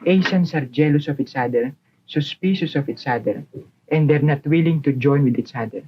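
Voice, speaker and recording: A man's voice: fairly high pitch, normal speed, husky. A teenage boy from the Philippines, a student debater arguing assertively on a 1950s broadcast. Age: teens. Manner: assertive, argumentative. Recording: muffled 1950s broadcast recording.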